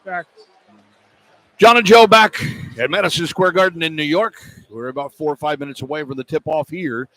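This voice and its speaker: A man's voice: fairly high pitch, moderate pace, bright, forceful tone, poor audio. A man hosting a sports radio broadcast with pre-game commentary and chat. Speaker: sports radio play-by-play host.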